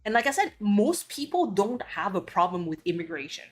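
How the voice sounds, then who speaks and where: A woman's voice: medium pitch, big pitch swings, normal speed. Blunt ranting woman. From Belgium. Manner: blunt, heated ranting with cursing and restarts. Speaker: streamer. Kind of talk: casual chat.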